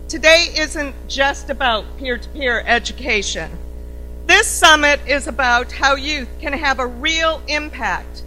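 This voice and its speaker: Loud, bright-voiced woman opening a conference. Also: sits high, poor audio. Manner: upbeat, formal, reading thanks and introductions. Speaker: CEO opening a summit. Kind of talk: welcome speech at a conference. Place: large conference room.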